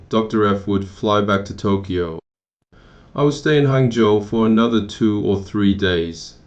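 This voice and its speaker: A man from Taiwan narrating his own memoir in a measured, matter-of-fact way, with occasional restarts. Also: fairly low pitch, darkish tone, lo-fi sound. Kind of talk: first-person memoir narration.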